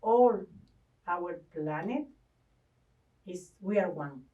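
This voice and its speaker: A woman's voice: on the low side, animated, takes it slowly, far from the mic, phone-quality sound. A woman from Ecuador, a guest talking earnestly about spirituality, often stopping to search for words. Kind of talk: spiritual conversation.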